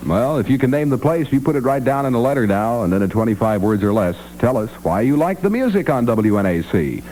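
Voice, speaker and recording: Animated American radio host, noisy vintage recording. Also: male voice, medium pitch, fast talker. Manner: conversational, addresses listeners directly. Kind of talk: live radio announcing and banter.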